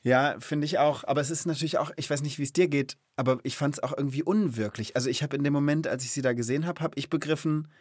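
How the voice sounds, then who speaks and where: A man's voice: fairly high pitch, fairly even intonation, fast talker, few pauses, no echo. A man hosting a children's podcast in a casual, explanatory way, speaking thoughtfully and with empathy.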